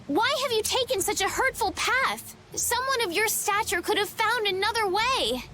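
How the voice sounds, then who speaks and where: A woman voicing a gentle, earnest young princess, polite and pleading, in a video game cutscene. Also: very high-pitched, expressive, moderate pace, noisy background.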